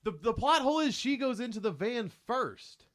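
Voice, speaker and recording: An American man hosting a podcast, telling things in a joking, animated way with casual banter. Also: very high voice, normal speed. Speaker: podcast host.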